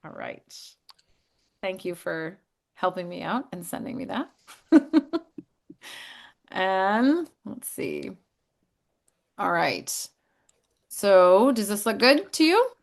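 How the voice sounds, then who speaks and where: An upbeat woman hosts a casual software demo with a friendly, chatty delivery. She leans on fillers as she talks, and her voice sits in an ordinary middle pitch. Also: wildly swooping pitch, slow pace, vocal fry, pauses often. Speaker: sales host of company demo. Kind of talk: casual chat on video call.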